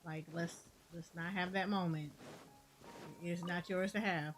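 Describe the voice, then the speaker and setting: A woman's voice: on the low side, steady tone, unhurried, a little hiss or hum. A woman giving casual, sassy reaction commentary on a game, in repeated short asides. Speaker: gaming content creator.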